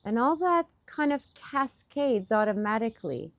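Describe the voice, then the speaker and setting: A woman leading a guided meditation as a teacher, with gentle, pausing phrasing, speaking outdoors. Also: fairly high pitch, sing-song, no echo. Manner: unhurried, gentle phrasing with pauses. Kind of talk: guided meditation, softly instructive and partly improvised. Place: outdoors in a park. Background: birdsong.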